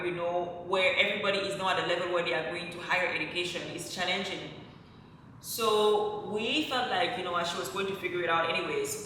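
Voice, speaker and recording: A woman's voice: lowish voice, pushed and bright. A woman from Cameroon, a French speaker, tells a personal story to viewers in a conversational way, acting out her own thoughts. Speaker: online content creator. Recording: very echoey room, distant mic, faint noise.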